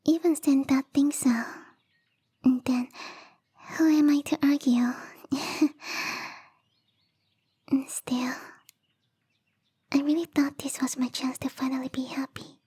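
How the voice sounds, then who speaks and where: A woman voicing a lonely, bubbly young female snow spirit, soft and whispery, in a sweet, pleading ASMR roleplay. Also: sits high, fairly even intonation, slow pace, long pauses. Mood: sad, resigned.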